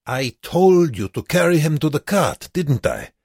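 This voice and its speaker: An arrogant young camp guard from an audiobook, acted out by a man. The voice is pushed high and rough, with a petulant, cruel bark. He gives orders as if used to being obeyed. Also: very animated, unhurried, no room sound, studio quality. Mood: commanding, petulant. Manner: shouted, barking orders. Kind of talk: audiobook character voice.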